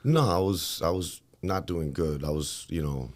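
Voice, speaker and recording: Podcast guest, man from Miami, very low bass voice, loose rambling chat. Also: normal speed. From Miami, Florida, USA. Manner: loose, rambling, many fillers and restarts. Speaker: guest, fitness trainer. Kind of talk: casual interview talk.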